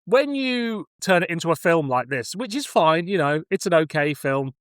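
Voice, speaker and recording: A man's voice: fairly high pitch, very animated, normal speed, fairly bright tone, clear, resonant tone, dry recording, studio quality. A man talking casually and reflectively as he reviews film and television. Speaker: podcast co-reviewer.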